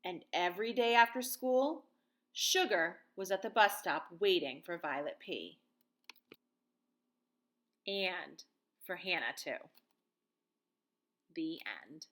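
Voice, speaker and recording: Expressive American woman teaching young children. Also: lowish voice, slow pace, pauses often. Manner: simple child-directed phrasing with guiding questions. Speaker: teacher reading aloud. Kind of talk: picture-book read-aloud and lesson for children.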